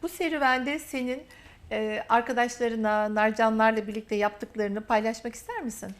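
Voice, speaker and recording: A woman hosting a TV interview, affirming her guest warmly and drawing out her phrases. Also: fairly high pitch, steady tone. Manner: warm, affirming, drawn-out phrasing. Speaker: TV interview host.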